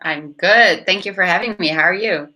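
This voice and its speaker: A woman's voice: fairly low pitch, moderate pace, bright, forceful tone, poor audio. A woman in her thirties, originally from the Netherlands, with a light Dutch and British-tinged accent, chatting casually as an interview guest over a video call from a home office, using lots of fillers. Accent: light Dutch-tinged English with British touches. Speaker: startup founder and guest.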